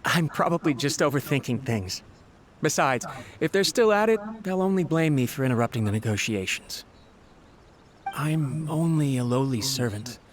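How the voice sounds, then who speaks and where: A man voicing a loyal, worried servant in a video game, earnest, deferential and quick to belittle himself. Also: medium pitch, expressive, slight background noise, natural voice. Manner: earnest, self-deprecating. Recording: game music behind the voice. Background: game music.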